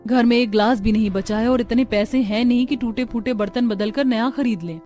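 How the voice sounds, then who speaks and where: A woman's voice: fairly high pitch, brisk, warm tone, faint music behind, natural voice. A woman voicing practical, outspoken young village daughters-in-law in a cartoon family story. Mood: nagging, annoyed. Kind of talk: Hindi cartoon story dialogue.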